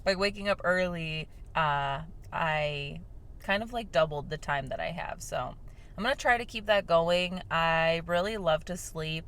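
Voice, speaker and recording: A young woman hosting a casual vlog, chatting softly with lots of fillers while sipping a drink, her voice slightly hoarse. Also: fairly low pitch, measured pace. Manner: talking softly on purpose, filler-heavy and rambling. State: slightly hoarse from shouting the day before. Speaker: vlog host. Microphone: mic without windscreen.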